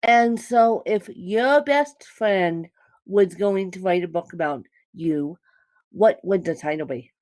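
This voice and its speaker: Female podcast host, unhurried questions, dry close sound. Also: on the low side, darkish tone, no room sound. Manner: slow, measured questioning. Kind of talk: asking interview questions.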